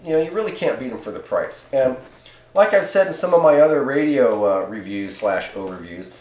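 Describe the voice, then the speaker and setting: A man's voice: mid-range voice, expressive, brisk, a little mellow. A man giving a casual, rambling, off-the-cuff product review in a laid-back, colloquial way. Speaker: host of CB radio review videos. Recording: echoey room sound.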